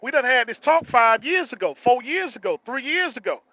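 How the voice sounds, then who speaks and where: High-pitched, emphatic man in his forties. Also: big pitch swings, normal speed, bright, forceful tone, no room sound, muffled recording. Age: early 40s. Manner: emphatic, repeats phrases for stress, slangy. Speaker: truck driver vlogger. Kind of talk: casual motivational monologue.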